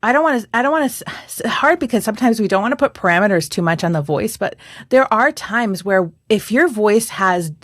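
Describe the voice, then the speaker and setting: A middle-aged woman co-hosting a podcast as a voice coach, telling stories in a lively way with frequent laughter and filler words. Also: mid-range voice, a little fast, no room sound, studio quality. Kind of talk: casual podcast chat.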